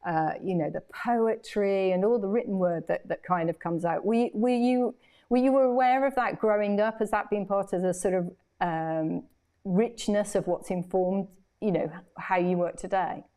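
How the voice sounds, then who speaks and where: A woman's voice: medium pitch, moderate pace, mellow. A woman hosting an online interview, hesitant and repeating words as she frames her questions. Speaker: host.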